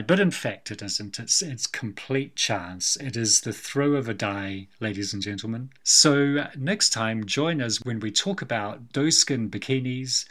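A man with a New Zealand accent, co-hosting a film podcast in a wry, measured, slightly theatrical way. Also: medium pitch, pushed and bright. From Scotland. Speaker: podcast co-host. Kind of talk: casual film discussion.

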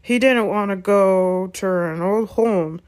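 A woman's voice: medium pitch, takes it slowly, darkish tone, close to an everyday voice. A woman voicing a big, well-meaning but flustered man who blurts things out, in a storybook reading. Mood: chatty.

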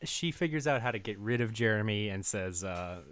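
A man's voice: fairly low pitch, animated, moderate pace, a bit bright. A young man co-hosting a casual movie recap podcast, rambling with many fillers and chuckling as he reads out his notes. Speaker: podcast co-host.

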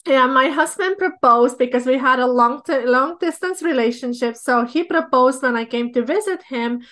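A woman in her thirties from Estonia with an Estonian accent, a business coach telling her story as a podcast guest in relaxed, chatty interview talk. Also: high voice, moderate pace. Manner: storytelling, relaxed with light laughs.

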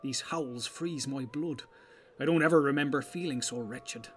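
A man's voice: medium pitch, normal speed, a little hiss or hum, close to an everyday voice. Man playing a timid, fretful young halfling. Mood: wretched. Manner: plaintive, fretful. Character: small, timid, miserable young male halfling.

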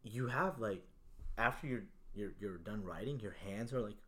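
A man whose first language is Urdu, co-hosting a casual podcast and telling stories in an animated, slangy way. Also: fairly low pitch, normal speed, crowd noise. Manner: animated, slangy storyteller who says "yo" a lot. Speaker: podcast co-host.

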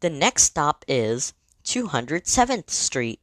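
A woman delivering scripted train announcements in a measured, formal, clearly segmented manner. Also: deep voice, big pitch swings, no room sound.

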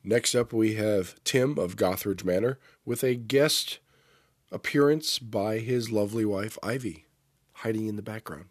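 A man's voice: on the low side, unhurried, dry recording. A man hosting his own podcast, talking loosely and rambling a bit, stuffy from a cold. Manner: loose, rambling, self-correcting. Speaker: podcast host. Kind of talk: casual podcast talk.

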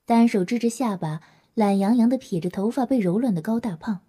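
A woman narrates an audio drama from a script. Her voice is fairly high yet soft-toned and mellow, with a slightly husky grain. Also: fairly quick.

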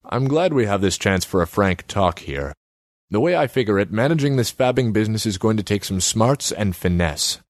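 A man's voice: lowish voice, animated, normal speed, no room sound, studio quality, natural voice. A man voicing a plain-spoken, earnest older male kiosk keeper in a story reading. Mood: frank.

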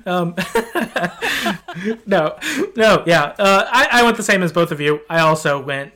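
A man hosting a casual podcast chat about video games, talking in a halting, conversational way. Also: high-pitched, very animated, pushed and bright. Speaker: podcast host. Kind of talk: casual video game discussion.

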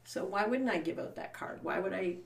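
A woman's voice: mid-range voice, fairly quick, creaky, slight background noise. A woman in her fifties with a Canadian accent, chatting as an interview guest and often laughing as she tells stories. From born in Scotland, raised in Canada. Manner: chatty and upbeat, many fillers, laughs while telling stories. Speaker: guest, sewing shop owner. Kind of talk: casual interview chat. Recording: voice distant from the mic.

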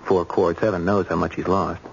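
An actor playing a sober, clinical male hospital doctor reporting gravely in an old-time radio drama. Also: sits low, flat delivery, normal speed. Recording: dull lo-fi radio recording, faint noise.

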